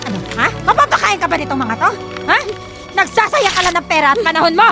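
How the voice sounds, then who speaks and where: A woman's voice: extremely high pitch, animated, quick pace. A woman acting a drunken, bitter middle-aged mother in a Filipino radio drama, scornful and hectoring, with a Filipino accent. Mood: furious, spiteful. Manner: slurring taunts, scornful, hectoring. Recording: music bed under the voice.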